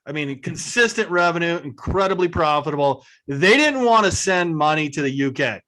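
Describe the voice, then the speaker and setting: A man's voice: on the high side, lively intonation, moderate pace, bright, forceful tone. A man answering questions as host in a casual, conversational way, explaining things through anecdotes. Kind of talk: casual question-and-answer talk on a video call.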